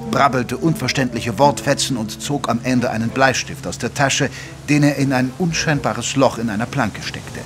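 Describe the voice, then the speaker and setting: A man plays an earnest gentleman doctor narrating a detective audio drama. His voice is medium in pitch and his storytelling measured and literary, with music clearly underneath. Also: close to an everyday voice.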